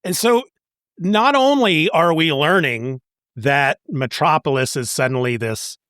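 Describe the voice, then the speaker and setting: A man co-hosting a podcast, discussing comic books casually with an expressive, wry delivery. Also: on the high side, unhurried, no room sound, clean studio sound. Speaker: podcast co-host.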